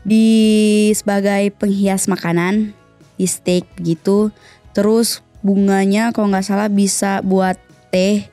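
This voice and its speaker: Slow-talking woman from Manado. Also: mid-range voice, little pitch movement, warm tone, soft background music. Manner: slow, searching for words. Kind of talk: casual interview.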